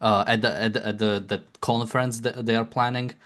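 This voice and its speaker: A man's voice: mid-range voice, little pitch movement, fairly bright tone. A man co-hosting a political podcast, explaining the news casually, joking and stumbling over his words with frequent fillers. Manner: joking, halting, many fillers and restarts. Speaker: podcast co-host.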